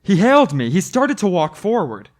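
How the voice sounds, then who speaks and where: An actor playing an anxious motorist who narrates a radio drama earnestly and stammers when flustered. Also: male voice, sits high, big pitch swings, moderate pace, soft background music. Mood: reflective, uneasy. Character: anxious male motorist haunted by a hitchhiker, narrating.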